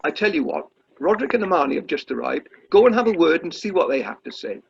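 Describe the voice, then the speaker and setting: A man's voice: on the high side, big pitch swings, fast talker, gravelly, slightly rough, exaggerated voice. A man playing a fussy, polite amateur dramatics director in a comic radio play, stammering and tactfully effusive. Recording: slightly dull video call audio.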